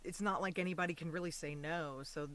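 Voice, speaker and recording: A woman in a relaxed interview chat, speaking as the guest. Her delivery is informal and animated, with thoughts that branch off and restart mid-sentence. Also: sits low, fairly quick. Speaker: podcast guest, actor.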